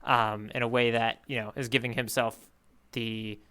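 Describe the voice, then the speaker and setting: A man's voice: mid-range voice, flat delivery, normal speed, bright, forceful tone, clear, resonant tone. A man co-hosting a podcast in casual chat, rambling and hedging as he thinks his points through aloud. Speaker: podcast co-host. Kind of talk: casual chat, manga readalong discussion.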